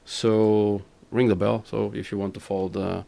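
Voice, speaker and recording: Portuguese man with gravelly voice. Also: on the low side, little pitch movement, mellow. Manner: halting, repeats words while searching for English. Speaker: podcast host. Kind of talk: casual interview chat.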